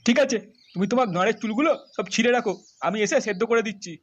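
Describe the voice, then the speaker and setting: A man's voice: high voice, racing, lightly acted. A man voicing a crude, impatient, bad-tempered husband in a comedy cartoon, with muffled lo-fi sound. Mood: irritated, sarcastic. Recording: muffled lo-fi audio with faint noise.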